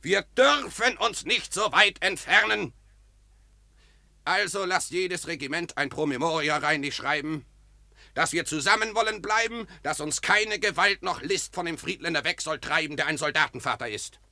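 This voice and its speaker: Radio play, 1950s: shrewd rank-and-file soldier, gravelly and fairly high, emphatic verse delivery. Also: male voice, lively intonation, moderate pace, sharp tone, no room sound, exaggerated voice. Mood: rousing, instructive. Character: rough, shrewd soldier rallying his comrades. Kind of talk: 1950s German radio drama verse acting.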